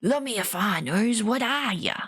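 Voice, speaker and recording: A woman voicing a rough, dim, hungry male troll with drawling rustic speech in a storybook reading. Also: a little high, expressive, normal speed, a bit bright. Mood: surprised.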